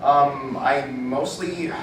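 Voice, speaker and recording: A man's voice: medium pitch, slow pace. A man speaking casually and a bit self-deprecatingly as a voice actor on a convention panel. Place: convention panel room, recorded from afar. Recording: echoey noisy room, distant microphone.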